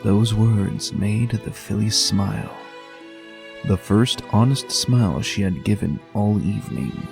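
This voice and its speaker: A man narrating a dramatic fan fiction reading in a calm, measured way. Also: lowish voice, vocal fry, poor audio. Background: faint music.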